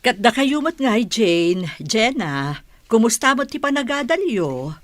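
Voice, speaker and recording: A kindly middle-aged aunt in a radio play, encouraging and animated. Her voice is mid-pitched and recorded dry. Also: a little slow, no echo. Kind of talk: radio drama acting.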